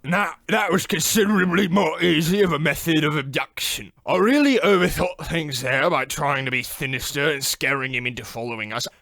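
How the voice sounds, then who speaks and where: A bumbling, theatrical Cockney chimney sweep for a comic radio play. The voice is fairly high and gravelly, stretching words out slowly in chummy villainous banter. It is recorded close and dry. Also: male voice, a bit bright, dry recording. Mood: sheepish. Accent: exaggerated Cockney. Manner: theatrical rhyming-slang banter. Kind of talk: comic old-time radio play acting.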